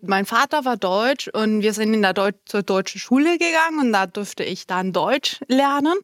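A woman's voice: medium pitch, lively intonation, moderate pace, no room sound, clean studio sound. A woman who is a native Spanish speaker, half Colombian and half German, answering conversationally as a podcast guest. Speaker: podcast guest, corporate compliance manager.

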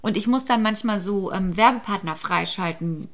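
A woman in her thirties chatting casually, telling animated stories with many restarts and quoted voices. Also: medium pitch, normal speed. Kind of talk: casual chat.

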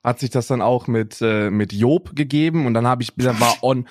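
A man's voice: mid-range voice, moderate pace, noisy background. A young adult man co-hosting a podcast in casual chat, rambling on and tagging his lines with "ne?" and "Bruder". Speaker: podcast co-host.

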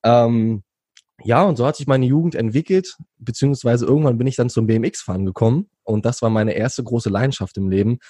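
A man's voice: mid-range voice, brisk, mellow, slightly rough, no room sound, studio quality. A young man from Berlin, recounting his youth as an interview guest in a rambling way, with many fillers and restarts. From Berlin, Germany. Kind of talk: relaxed conversational storytelling.

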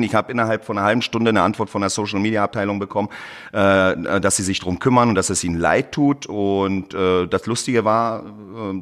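A man from Berlin speaks as a guest in an interview. His voice sits fairly low, and he explains his work in a conversational way, often pausing mid-thought to search for the next phrase. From Berlin, Germany. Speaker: tradesman and social media creator, interview guest.

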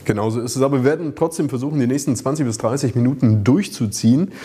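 A man's voice: mid-range voice, fast talker, warm tone. A man hosting a business podcast, friendly and teasing, steering the talk with questions. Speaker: podcast host.

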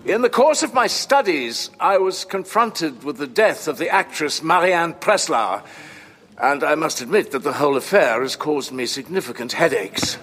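An actor playing a courteous, long-winded, analytical doctor in a formal, measured audio drama performance. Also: male voice, fairly high pitch, lively intonation, fairly bright tone, long reverb tail, a little hiss or hum. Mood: courteous, explanatory. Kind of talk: full-cast audio drama acting.

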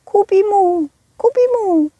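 A woman voicing a small, harmless storybook ghost that repeats one drawn-out, hooting spooky call. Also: expressive, dark tone, dry recording, highs cut off, heavily acted. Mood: whimpering. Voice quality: drawn-out, high, hooting moan.

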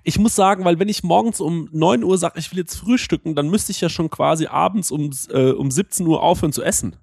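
A man's voice: fairly high pitch, a little fast, vocal fry, darkish tone, breathy edge, no echo, studio quality. A man on a casual podcast, rambling with many fillers and poking fun at himself. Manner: rambling, full of fillers, self-mocking. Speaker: podcast co-host. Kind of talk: casual chat.

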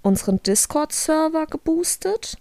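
A woman's voice: high-pitched, sing-song, unhurried, a little mellow, no room sound. A young woman from Germany, co-hosting a podcast in casual chat, talking freely with frequent laughs and restarts. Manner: chatty, laughs often, trails off and restarts. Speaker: podcast co-host.

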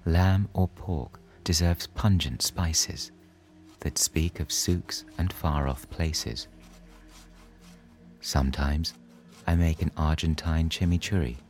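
Very deep, slow male bedtime narrator. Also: steady tone, darkish tone, faint music behind. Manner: soothing, measured. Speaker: story narrator. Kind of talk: scripted bedtime story narration.

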